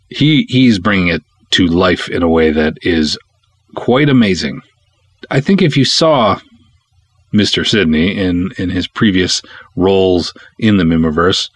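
A man with a fairly low voice talks solo as a podcast host. His delivery is casual and conversational, reflective but emphatic on key points. The sound is close and very dry. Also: no echo. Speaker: podcast host and film director.

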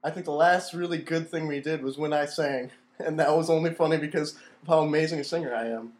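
A man's voice: fairly high pitch, fairly quick, reverberant. A man in comedic podcast banter with a dry, self-important manner, recorded in a room.

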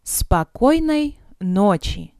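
A woman leads a vocabulary drill at a very slow, deliberate pace. She enunciates each phrase with care, then splits it word by word and repeats it. Her voice is soft and mellow, recorded close and dry. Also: medium pitch, sing-song, no echo. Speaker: language teacher.

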